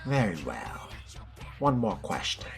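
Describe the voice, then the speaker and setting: A man role-playing a curious, laid-back fledgling vampire in a video game, low-key and inquisitive. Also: big pitch swings, slow pace, creaky, music in the background, exaggerated voice. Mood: dry, curious.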